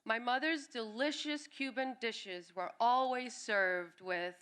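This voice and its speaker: A woman born in Cuba and raised in New York City, reading her own personal essay aloud at a live event with an expressive delivery. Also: on the high side, takes it slowly, smooth. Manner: expressive reading, briefly acting out quoted family members. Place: live reading at a music venue.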